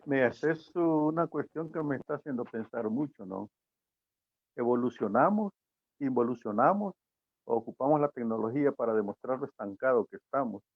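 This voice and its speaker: Salvadoran man, gravelly and husky, lecturing guest over a phone-thin line. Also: medium pitch, dry recording. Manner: reflective, lecturing, rhetorical questions. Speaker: guest commentator. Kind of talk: opinion talk on a show. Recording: tinny phone-like line, dry.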